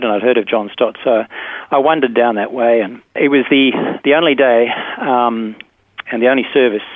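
A middle-aged man from South Africa, a teacher and filmmaker, telling his story thoughtfully with many pauses and fillers over the phone from a school room. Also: medium pitch, no room sound. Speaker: interview guest, teacher and filmmaker. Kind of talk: phone interview, casual storytelling. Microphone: telephone. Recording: tinny dry phone line.

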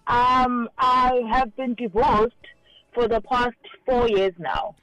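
A woman's voice: a little high, takes it slowly, a bit bright, muffled recording, faint music behind. South African woman in her forties. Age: 40s. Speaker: caller. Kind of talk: radio phone-in interview.

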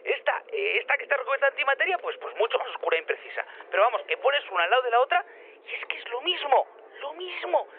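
A man playing an excitable, scatterbrained inventor who rambles, stammers and repeats himself over a phone link. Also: very high-pitched, quick pace, vocal fry, slight background noise, heavily acted. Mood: giddy, amazed. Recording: narrow phone-like radio link.

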